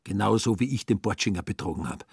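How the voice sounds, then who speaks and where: A man plays a young German swindler in a radio play, cynical and full of self-justification. The voice is husky and pushed higher, in a very dry recording. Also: quick pace, dry recording. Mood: indignant musing.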